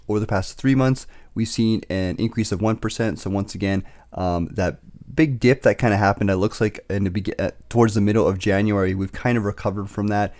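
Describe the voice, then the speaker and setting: A young man in his twenties with a raspy voice, still getting over being sick, giving a casual investing update from his bedroom into a desk mic. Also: lowish voice, a little mellow. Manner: quick, filler-heavy talk. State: recovering from illness, slight cough. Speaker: investing YouTuber. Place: bedroom, indoors. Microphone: desk microphone on boom arm.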